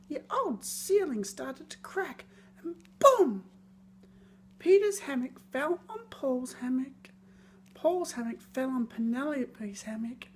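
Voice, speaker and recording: A woman reading a scripted children's story in a theatrical, expressive way, lingering on words. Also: high voice, slight background noise.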